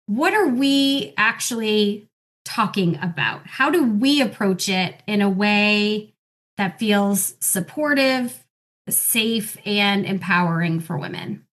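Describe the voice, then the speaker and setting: A woman's voice: medium pitch, animated, slow, a bit bright. A woman hosting a podcast in an upbeat, encouraging way as she introduces and questions her guests. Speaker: podcast host.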